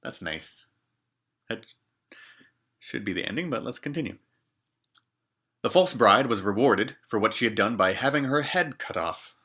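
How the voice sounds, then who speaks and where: A man in his twenties reading a fairy tale aloud, expressive and jokey, with casual asides. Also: lowish voice, measured pace, pauses often, husky. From Canada. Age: 20s. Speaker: storyteller.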